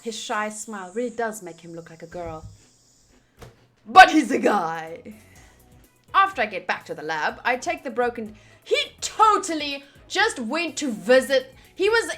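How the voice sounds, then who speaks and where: A woman's voice: high-pitched, a bit bright. A woman game streamer reading game text aloud and chatting informally with casual asides.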